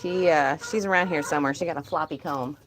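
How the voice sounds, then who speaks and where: A woman chatting casually on a live stream from her chicken coop outdoors, talking to her birds, with a calm, disinterested-sounding voice. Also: deep voice, fast, background noise. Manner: chatty, laughs often, talks to her birds. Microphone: phone held in hand.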